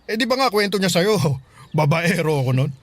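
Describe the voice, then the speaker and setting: An actor playing a caring, bewildered middle-aged Filipino father in a radio drama, speaking gently and questioningly. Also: high-pitched, big pitch swings, normal speed, no room sound. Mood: patient, advising. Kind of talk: Tagalog radio drama acting.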